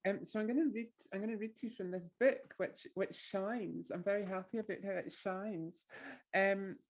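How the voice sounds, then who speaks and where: A woman poet with an Orkney accent, talking thoughtfully with many pauses and restarts before reading her verse. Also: medium pitch, steady tone, lo-fi sound. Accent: Orkney Scots. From Orkney, Scotland. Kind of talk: poetry reading with introduction.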